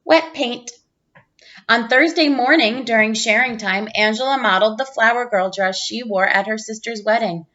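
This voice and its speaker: A woman reading a children's picture book aloud like a teacher, with expressive storytelling emphasis. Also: fairly high pitch, normal speed, hardly any pauses, lo-fi sound. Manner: animated character voices, clear storytelling emphasis.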